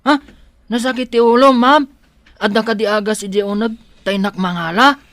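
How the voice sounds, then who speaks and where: An actor playing a shy, slow-witted, good-hearted servant in a Filipino radio drama. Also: male voice, high-pitched, steady tone, a bit bright. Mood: confused, obedient.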